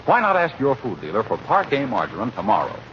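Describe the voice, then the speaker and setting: A dull old radio recording with faint noise carries a man announcing in 1940s style. His delivery is polished and emphatic, with a very lively, wide-ranging pitch. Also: fast talker. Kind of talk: 1940s radio announcing, scripted sponsor message and narration.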